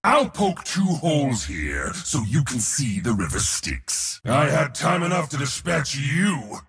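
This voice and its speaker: An actor playing a boastful, battle-hungry god of war in a video game, with a raspy, gravelly voice and a pompous, taunting, theatrical delivery. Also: male voice, very animated, unhurried, fairly bright tone, in a large hall, full character voice. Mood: mocking, haughty. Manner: pompous, theatrical, taunting, swinging between bellows and low sneers. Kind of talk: video game hero voice lines.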